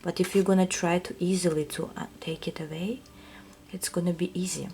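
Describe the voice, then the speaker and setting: A woman with a Russian accent, giving a soft, hesitant tarot reading that often drops to a whisper. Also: on the low side, steady tone, a little fast, vocal fry, a little hiss or hum. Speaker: tarot reader. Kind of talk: intuitive reading to viewers.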